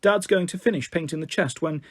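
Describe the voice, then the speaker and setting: An earnest young girl in a storybook as a man voices her, higher in pitch with a husky edge. She comes across eager, anxious and defensive. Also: fairly quick, dry recording, clean studio sound, close to an everyday voice. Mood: eager, faltering. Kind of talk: audiobook character dialogue.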